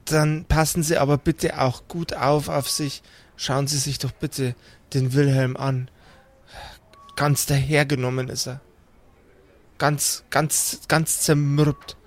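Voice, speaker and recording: A man's voice: fairly high pitch, flat delivery, slow. Guy doing a nice old grandma, polite n fussy, kinda worried, old fashioned way of talking. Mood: worried, mournful. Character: kindly old grandmother with a walker, doting, worried.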